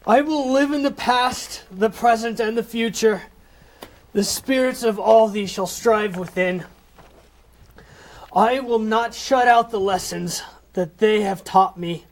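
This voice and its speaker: A man's voice: high voice, slow pace, poor audio. A man playing a repentant old miser in a theatrical, emotional style for an amateur comic audio play. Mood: desperate pleading. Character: repentant old male miser, desperate then giddily overjoyed.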